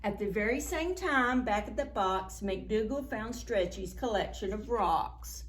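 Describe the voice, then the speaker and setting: A woman's voice: medium pitch, animated, measured pace. A woman reading a picture book aloud to preschoolers as a story time host, expressively, with asides and questions to her young listeners.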